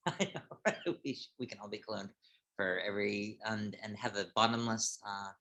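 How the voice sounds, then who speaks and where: A man's voice: on the low side, flat delivery, normal speed, pushed and bright. A man hosting an online reading event, chatting casually and hesitantly with lots of ums and restarts. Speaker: event host. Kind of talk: casual chat. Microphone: home computer mic on a video call.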